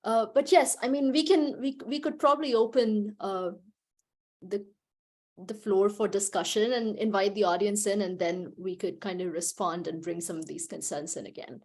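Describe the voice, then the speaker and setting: A woman's voice: mid-range voice, steady tone, normal speed, darkish tone. Woman, moderator, casual and hesitant, online panel, video call.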